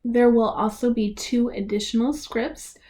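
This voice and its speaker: A woman giving an instructional presentation for families, speaking with clear, deliberate enunciation. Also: a little high, flat delivery, dark tone. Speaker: presenter of a library reader's theater tutorial.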